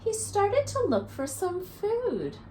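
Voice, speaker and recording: A woman in her thirties speaking as a teacher to young children, reading a picture book and explaining it simply in a deliberate, instructive way. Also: very high-pitched, huge pitch swings, dark tone, slight background noise. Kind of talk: expressive picture-book read-aloud and simple lesson for children. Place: small living room, indoors.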